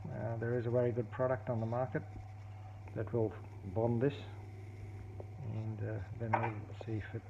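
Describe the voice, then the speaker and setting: A man casually demonstrating a tape recorder repair at his workbench, explaining step by step in a halting way, with many fillers and restarts. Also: medium pitch, monotone, warm tone. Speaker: hobbyist repairer. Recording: dull, lo-fi audio with clear background noise and clicks.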